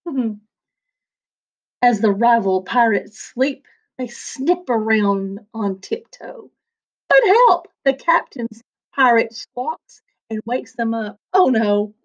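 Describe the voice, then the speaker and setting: A woman's voice: fairly high pitch, expressive, slow, dull audio. A woman in her forties, a second-grade teacher, reading a picture book aloud with playful, chatty asides to children from a small attic room at home. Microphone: webcam at desk.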